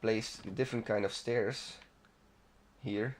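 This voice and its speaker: A man's voice: lowish voice, fairly even intonation, takes it slowly, slight background noise. A man hosting a gaming video, giving casual, unscripted walkthrough commentary in a rambling, self-interrupting manner.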